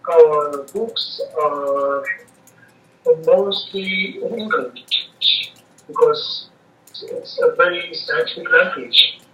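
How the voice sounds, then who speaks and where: A man's voice: a little high, big pitch swings, drawn-out words, pushed and bright. Hesitant Kurdish-native young man, distant. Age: young adult. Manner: hesitant, searches for words with many uh's. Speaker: student being interviewed. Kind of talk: halting conversational answers. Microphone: video call. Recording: distant, echoey, dull call audio.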